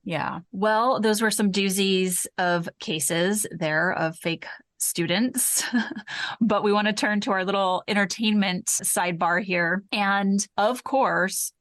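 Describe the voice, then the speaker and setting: A woman's voice: mid-range voice, slow, a bit bright, no echo. A woman co-hosting a true-crime podcast, talking casually and conversationally. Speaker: podcast co-host.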